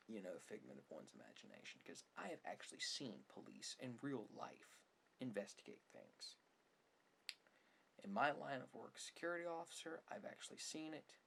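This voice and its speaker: A man's voice: on the low side, vocal fry, a bit bright. A man hosting an ASMR-style film commentary, complaining emphatically and sarcastically and at times dropping toward a whisper. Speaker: video host, film commentator. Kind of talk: ASMR opinion monologue on films. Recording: faint background noise.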